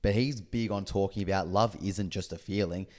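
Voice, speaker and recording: A man's voice: low voice, fairly even intonation, moderate pace, darkish tone. A man in his twenties co-hosting a podcast, friendly and easygoing, steering the casual chat. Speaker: podcast co-host.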